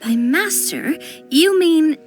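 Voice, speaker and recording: Cheerful young heiress in a game, high and expressive, faint music behind. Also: female voice. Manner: chatty, expressive, quick to react. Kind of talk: game cutscene dialogue.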